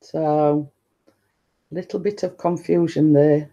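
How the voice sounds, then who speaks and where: A woman with a deep-toned voice talks slowly and unhurriedly to viewers on a live stream. She meanders through her story, leaning on stock phrases and circling back to the same point. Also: steady tone, dark tone, lo-fi sound. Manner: chatty, meandering, repeats stock phrases and laughs often. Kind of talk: rambling casual chat.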